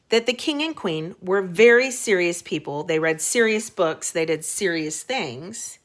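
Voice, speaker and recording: A woman is giving a reading lesson for young children. Her pace is unhurried and her pitch moves up and down a great deal. She explains in plain conversational language, dropping in short questions to check understanding. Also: a bit bright. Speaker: elementary school teacher.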